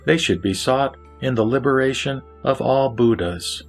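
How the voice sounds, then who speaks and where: A man's voice: medium pitch, normal speed, faint music behind, pitched above the performer's own voice, lightly acted. A man playing a wise, ailing lay sage who teaches in a solemn, formal way in a scripture audio drama. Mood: solemn, instructive.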